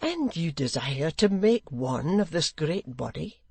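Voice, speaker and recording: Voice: medium pitch, very animated, unhurried, gravelly, a little mellow, slightly rough, no room sound, full character voice. A reader voicing a zealous, self-righteous nobleman who speaks in a formal, earnest, declamatory way. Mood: solemn. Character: zealous, self-righteous English lord, solemnly easily offended.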